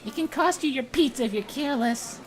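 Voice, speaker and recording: A man voicing a loud, teasing, greedy teenage girl in an amateur visual novel dub. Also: very high voice, expressive, brisk, soft background music, pitched above the performer's own voice. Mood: smug, teasing.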